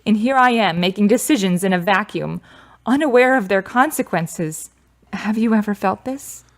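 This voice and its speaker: A woman's voice: medium pitch, lively intonation, moderate pace, natural voice. A woman playing a grieving, frank young woman in a radio drama, with dramatic, earnest phrasing. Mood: anguished.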